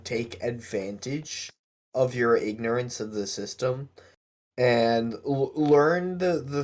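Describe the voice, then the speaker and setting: Guy on a podcast talking really slow, average pitch, hesitant and picking his words. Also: a little mellow. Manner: hesitant, halting, choosing words carefully. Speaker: podcast co-host. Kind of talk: casual discussion.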